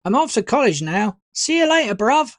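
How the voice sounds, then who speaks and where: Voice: mid-range voice, big pitch swings, normal speed, dry recording, clean studio sound, pitched above the performer's own voice, natural voice. A narrator voicing a cheeky, casual teenage older brother in an audiobook. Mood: breezy, shouting.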